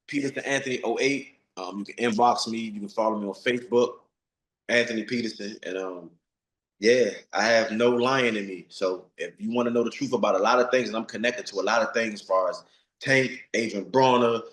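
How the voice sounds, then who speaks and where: A man's voice: mid-range voice, a bit bright, roomy sound, low-quality recording. A man of forty, a retired boxer, giving blunt, streetwise interview answers and repeating himself for emphasis. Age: 40s.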